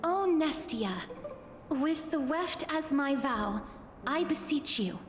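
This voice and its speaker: A woman's voice: high voice, animated, takes it slowly, reverberant, in a large hall, a little hiss or hum. A woman playing an earnest, guarded young priestess in a video game, speaking formally and solemnly. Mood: solemn, firm.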